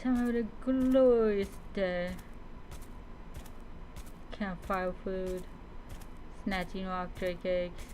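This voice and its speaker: A woman's voice: medium pitch, fairly even intonation, drawn-out words, long pauses, a little mellow. A woman giving chatty, casual gameplay commentary with light roleplay, thinking aloud to her viewers. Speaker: game streamer. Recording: clearly noisy, background ambience.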